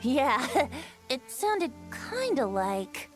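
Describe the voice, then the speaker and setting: A boyish young bard from an anime game, breezy and theatrical. The high voice lilts playfully through tales, very animated, with faint music behind. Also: female voice, extremely slow, heavily acted. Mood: amused storytelling. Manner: theatrical, lilting storytelling.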